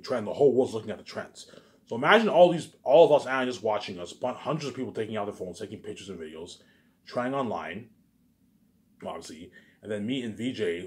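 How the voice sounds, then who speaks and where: A man in a casual, rambling monologue, boastful and repetitive, with emphatic bursts that trail off into muttered tags. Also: deep voice. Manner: repetitive, keeps restating phrases and tagging sentences with catchphrases; boastful, self-aggrandizing.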